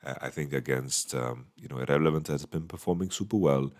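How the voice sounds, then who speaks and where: A man with a slightly hoarse voice, casually giving a solo esports recap from a small hotel room into a microphone, thinking aloud with many fillers. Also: a bass voice, brisk, a little mellow. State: voice going a bit hoarse. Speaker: esports analyst. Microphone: studio microphone.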